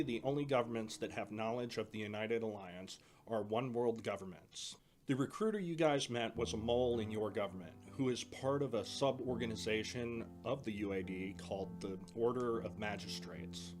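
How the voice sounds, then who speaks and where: A man playing an earnest older brother who trains recruits at a military academy, explaining things in a measured way in an audio drama. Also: lowish voice, soft background music, natural voice. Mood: matter-of-fact.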